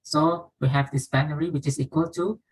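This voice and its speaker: A woman's voice: low voice, brisk, slightly rough, rough recording. A woman with an Indonesian accent, a student explaining her lab answer step by step while sharing her screen. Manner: steady explanatory, working through steps aloud. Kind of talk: screen-share walkthrough of code.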